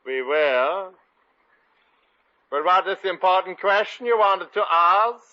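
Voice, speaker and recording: A man's voice: high-pitched, expressive, unhurried, no room sound. A man playing a sappy, easily annoyed foreign bridegroom with a German accent in a vintage radio comedy. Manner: gushing, sentimental, inverted phrasing. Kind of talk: 1950 radio situation comedy acting. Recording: dull old radio recording.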